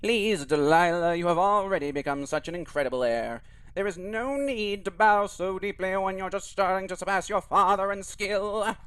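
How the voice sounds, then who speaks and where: A man voicing a frail elderly village priest, speaking in a slow, drawn-out preaching cadence. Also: high voice, fairly bright tone, clean tone, no echo, voice pushed up. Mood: gentle.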